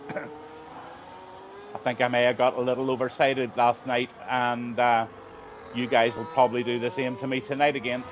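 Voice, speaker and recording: Brief: middle-aged male sim racing commentator, mid-pitched, relaxed and chatty, voice slightly tired, light background hiss. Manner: chatty, friendly, low-key commentary. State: slightly strained voice. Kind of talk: live stream race commentary.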